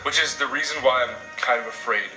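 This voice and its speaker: Young male vlogger, quick and bright-voiced, echoey room off mic. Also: medium pitch, distant mic, soft background music. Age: young adult. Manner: excitable, nervous rambling. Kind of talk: casual chat.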